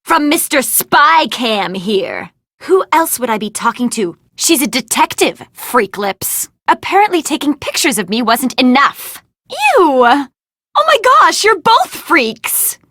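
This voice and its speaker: A ditzy, fame-hungry young actress from an adventure game. Her voice is high and wildly expressive, with a dry, close studio sound. She gushes theatrically, like a gossip in love with herself. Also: a little slow, no room sound, full character voice. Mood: indignant. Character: vain, ditzy, dramatic young movie actress, scheming for fame. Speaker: video game voice actor. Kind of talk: animated adventure game dialogue.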